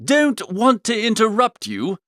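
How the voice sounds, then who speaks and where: A foppish young upper-class gentleman, voiced by a man in a comic storybook, pitched high and very animated. He talks in earnest, emphatic slang, part ditherer and part indignant scold. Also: measured pace, no echo, studio quality, heavily acted. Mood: dithering, mild.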